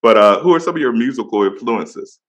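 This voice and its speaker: A man in his thirties with a deep voice, hosting a casual interview and praising his guest with slang. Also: lively intonation, fairly quick. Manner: enthusiastic, slang-heavy praise. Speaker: podcast host.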